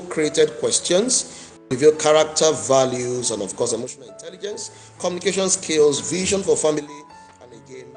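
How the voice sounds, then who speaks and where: A Nigerian man hosting an interview show, speaking in a measured way and repeating and rephrasing his words. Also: mid-range voice. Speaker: host.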